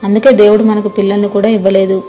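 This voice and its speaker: A woman's voice: mid-range voice, level tone, rapid-fire, warm tone, close to an everyday voice. A woman playing a kindly, moralizing wife who earnestly admonishes her husband in a dramatized story, music behind her. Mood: reproachful, earnest.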